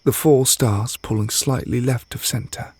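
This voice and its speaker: A man acting a gentle, adoring witch boyfriend in an intimate ASMR roleplay, soft-spoken and tender with a light teasing manner. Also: mid-range voice, fairly even intonation, unhurried, breathy edge, no echo. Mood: gentle, reflective.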